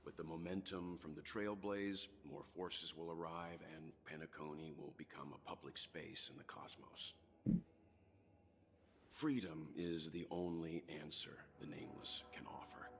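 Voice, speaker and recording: A man playing a calm, reassuring gentleman mentor in a video game, speaking in a measured, formal way. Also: sits low, a little hiss or hum. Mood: calm, thoughtful. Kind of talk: dramatic video game dialogue acting.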